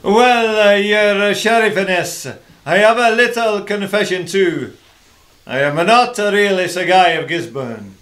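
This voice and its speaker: Guy doing a jokey outlaw sidekick, highish voice, theatrical amateur radio comedy. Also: lively intonation, a little slow, fairly bright tone. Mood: bumbling, cheeky. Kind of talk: amateur comic radio play acting.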